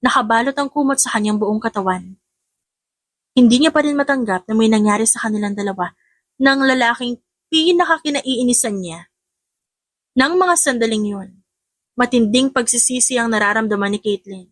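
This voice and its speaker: A woman's voice: fairly high pitch, lively intonation, normal speed, pauses often, no room sound. A woman with a Filipino accent reading a scripted romance story as an audiobook narrator.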